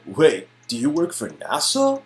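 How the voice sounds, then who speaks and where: A man's voice: fairly high pitch, huge pitch swings, measured pace, faint music behind, voice pushed up. A man playing a teasing young date in a dramatic reading of an interactive story game. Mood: curious. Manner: teasing, mock-surprised.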